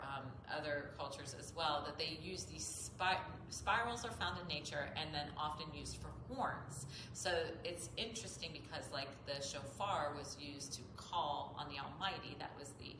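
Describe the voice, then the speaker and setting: A woman's voice: sits low, reverberant, background noise. A woman yoga teacher with a slightly nasal voice, explaining mantras earnestly and often repeating her phrases. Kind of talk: spoken yoga instruction. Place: yoga studio.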